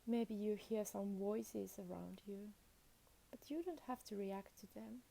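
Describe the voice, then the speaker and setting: A woman guides a meditation at a very unhurried pace. Her voice is soft-edged and dark, with a noticeable fry in it. She speaks in short, soothing phrases and leaves generous space between them. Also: mid-range voice, fairly even intonation, low-quality recording.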